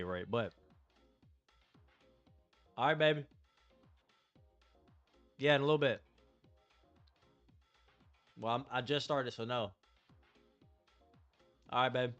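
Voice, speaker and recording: A man's voice: medium pitch, a little slow, pauses often, a bit bright, faint music behind. A young man streamer chatting casually to his live chat in slangy, laid-back talk while opening trading cards. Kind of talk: casual livestream card-opening commentary.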